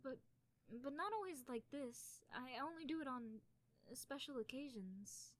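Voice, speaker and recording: High-voiced shy, nerdy young girlfriend played by a woman. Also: expressive, measured pace. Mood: calm, wistful. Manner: hesitant, stammering, giggly when embarrassed. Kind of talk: soft intimate ASMR roleplay.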